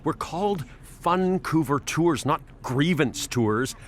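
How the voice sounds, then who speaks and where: An actor playing an anxious, rule-bound middle-aged tour guide in a radio play, talking fussily and with exasperation. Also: male voice, a little high, animated, slow. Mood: defensive.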